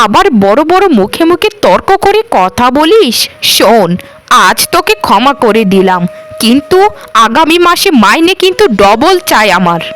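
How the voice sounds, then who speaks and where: A woman's voice: high voice, fairly bright tone. A woman voicing a cruel cartoon aunt who scolds and harangues with scornful sarcasm. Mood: threatening. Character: cruel, scolding aunt bullying her orphaned niece. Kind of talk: Bengali cartoon dialogue.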